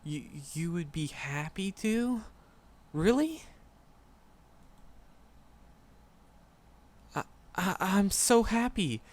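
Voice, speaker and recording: A man's voice: high voice, lively intonation. A man playing a shy, flustered, lovestruck magic professor in a roleplay audio drama, stammering and hesitant. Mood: relieved, happy. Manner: nervous, heavy stammering, hesitant, halting. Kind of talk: roleplay audio drama, speaking softly to the listener.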